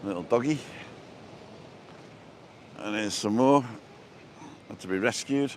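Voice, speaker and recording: A British man with a low-pitched voice rambles casually through a live walking tour, slipping in dry jokes as he goes. He is recorded outdoors, with noticeable ambient noise around him. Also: takes it slowly. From born in England, lived in Wales and abroad. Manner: rambling, jokey. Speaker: travel vlogger and livestream host. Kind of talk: casual live walking-tour chat, answering viewer comments. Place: outdoors, walking along a seaside promenade. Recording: noisy outdoor street and seafront ambience.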